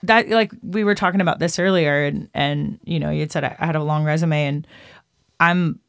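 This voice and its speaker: Woman, 30s, musician guest, chatty and animated, close dry studio sound. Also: low-pitched, a little fast, creaky, smooth, dry recording. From Austin, Texas. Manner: chatty storyteller, laughs often, acts out quotes. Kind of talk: casual interview.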